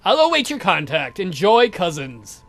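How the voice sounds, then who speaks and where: Guy doing a suave creepy count for a horror game, polite but condescending, bright and high, very animated. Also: moderate pace, soft background music, exaggerated voice. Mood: brisk, courteous. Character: suave, cheeky, menacing count of an eccentric family.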